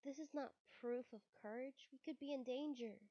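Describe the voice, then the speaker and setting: A woman's voice: high voice, normal speed, warm tone. A woman streamer who is under the weather, reading a visual novel aloud with casual asides. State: sick, occasional cough. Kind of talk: live let's-play read-aloud narration with casual asides. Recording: roomy echo, dull lo-fi sound.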